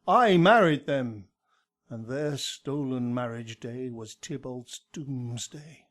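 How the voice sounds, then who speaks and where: A man acts out an elderly friar in a Shakespearean radio drama. He declaims his confession slowly in a fairly high male voice, grave and anguished, with measured verse that swells and drops.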